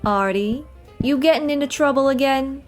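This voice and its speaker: Woman acting a sweet, high-voiced naive showgirl. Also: normal speed, faint music behind, close to an everyday voice. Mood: friendly. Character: sweet, naive, slightly dim nightclub showgirl. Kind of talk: 1940s noir radio comedy acting.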